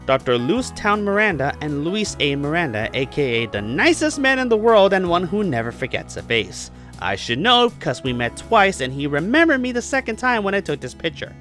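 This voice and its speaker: A man delivers an opinionated film review over music. His pitch sits a little high and moves in lively swings, and his wording is casual, emphatic and salty. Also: normal speed, hardly any pauses. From Puerto Rico. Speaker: movie reviewer. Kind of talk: scripted review narration, casual and profane. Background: music behind the voice.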